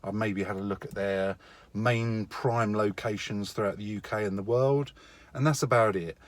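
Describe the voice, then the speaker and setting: A man's voice: lowish voice, fairly even intonation, moderate pace. A middle-aged man talking casually and matter-of-factly, as a contractor sharing career advice, leaning on key words for emphasis.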